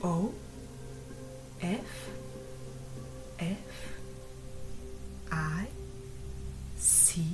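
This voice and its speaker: A woman reads an audiobook in a soft, breathy near-whisper, taking her time over each phrase. Her voice sits on the lower side, and faint music runs beneath her. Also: extremely slow, pauses often, poor audio. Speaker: audiobook narrator. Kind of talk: scripted narration.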